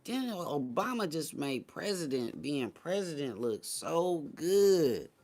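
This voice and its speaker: A man's voice: a little high, very animated, slow pace, darkish tone. An American man in casual, unscripted talk, opinionated and blunt, with slangy phrasing and plenty of swearing. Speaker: morning show host. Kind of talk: casual chat.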